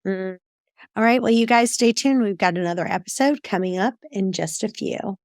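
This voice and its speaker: A woman's voice: mid-range voice, normal speed, no echo, studio quality. A woman speaking conversationally as a podcast host interviewing a guest.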